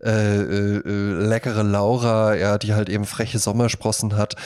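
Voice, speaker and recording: A man's voice: on the low side, little pitch movement, normal speed, darkish tone, clear, resonant tone. Casually chatting man, rambling storyteller. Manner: rambling, anecdotal, acts out little imagined quotes. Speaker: podcast co-host. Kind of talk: casual conversational podcast chat.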